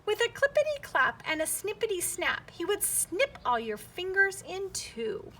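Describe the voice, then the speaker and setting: A woman teacher reading a children's picture book aloud, expressive, with simple prompts aimed at young listeners. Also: very high-pitched, measured pace, background noise.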